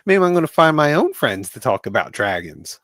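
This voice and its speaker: A man's voice: fairly high pitch, big pitch swings, fairly quick, a bit bright, no room sound, clean studio sound. A man hosting a casual podcast chat from New Jersey, joking and rambling with lots of fillers. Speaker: host.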